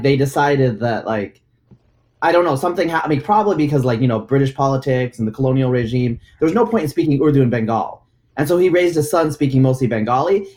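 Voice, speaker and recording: A man's voice: medium pitch, a little mellow. A man in casual discussion as host, talking in a rambling way with frequent fillers and restarts. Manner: rambling, many likes and restarts. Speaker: podcast host.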